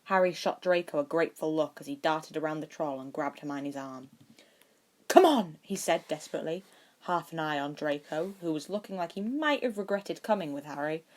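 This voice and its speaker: Low-voiced woman reading a story. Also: fairly quick. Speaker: amateur audiobook narrator. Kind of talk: scripted story narration.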